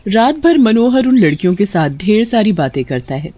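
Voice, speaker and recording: A woman's voice: mid-range voice, big pitch swings, moderate pace, mellow, dry recording. A woman narrating a scripted moral bedtime story as a storyteller.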